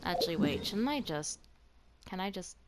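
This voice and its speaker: A woman's voice: lowish voice, lively intonation, normal speed, very noisy. A woman gaming streamer giving casual reaction commentary as she plays. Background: game music.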